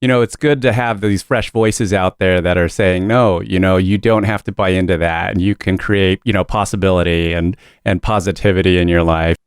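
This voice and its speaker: A male podcast host with a low, smooth, resonant voice, asking questions in a measured way. The recording is dry and close. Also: steady tone, dry recording. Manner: measured, hedging with "you know". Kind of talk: interview questions.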